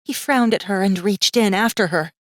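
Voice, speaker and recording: A woman's voice: mid-range voice, moderate pace, no room sound. A woman narrating a fantasy audiobook in a dramatic, scripted style with tense, suspenseful storytelling.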